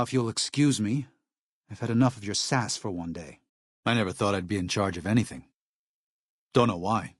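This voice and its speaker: Low-voiced, earnest missionary man played by an actor. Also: dry recording, clean studio sound, close to an everyday voice. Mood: stern, then grieving. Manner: earnest, plain-spoken, measured, with sighs. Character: earnest, weary male missionary leader in post-apocalyptic wasteland. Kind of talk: video game character dialogue.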